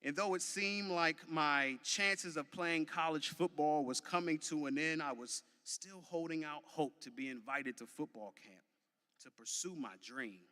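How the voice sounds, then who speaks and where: Commencement speaker: American man, early 30s, bright forceful voice, deliberate preacher-like cadence, heavy hall reverb. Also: fairly high pitch. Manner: emphatic, deliberate, preacher-like repetition with dramatic pauses. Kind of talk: motivational commencement speech. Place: large venue, graduation ceremony.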